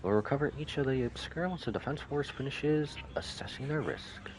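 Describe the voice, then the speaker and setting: A man's voice: medium pitch, animated, fairly quick, a little hiss or hum, voice pushed up, natural voice. A man voicing a shrewd, polite corporate trader from a game, formal and businesslike.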